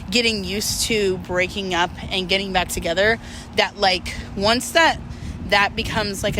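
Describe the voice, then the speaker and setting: A woman's voice: mid-range voice, background noise. A woman chatting casually and candidly to her viewers, rambling, self-deprecating and swearing freely in a confessional vlog style. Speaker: vlogger. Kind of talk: casual confessional chat to camera.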